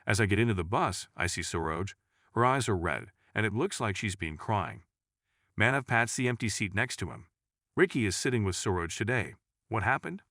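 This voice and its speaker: A man with a low voice reads a story in the first person, very expressively. His delivery is chatty and wry, dropping sarcastic asides as he goes. The sound is dry and close, with no room around it. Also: expressive, normal speed, sharp tone, no room sound. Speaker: storyteller reading a crime story. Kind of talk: scripted first-person narration.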